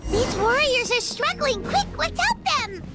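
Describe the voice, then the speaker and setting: A woman voicing a small, childlike, earnest fairy companion with a squeaky voice in a video game. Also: very animated, measured pace, heavily acted. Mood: urgent. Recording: loud music under the voice.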